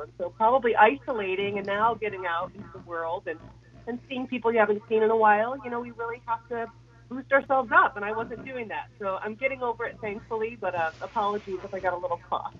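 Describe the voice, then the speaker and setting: A woman guest with a head cold, her voice raspy and hoarse, chatting casually with lots of fillers. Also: medium pitch, fast talker, muffled recording. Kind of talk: casual radio chat.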